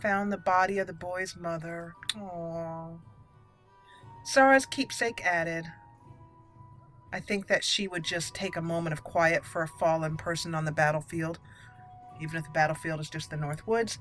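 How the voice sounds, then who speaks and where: A woman hosting a gaming channel, giving casual, playful let's play commentary in an excitable, chatty way. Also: fairly low pitch. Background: game music under the voice.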